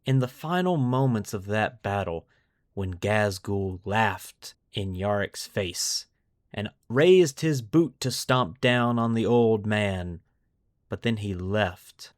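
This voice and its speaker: A man hosting a lore podcast, telling the story in a deliberate, semi-scripted narrative style with dramatic pauses. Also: on the low side, expressive, dry recording, studio quality. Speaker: podcast host.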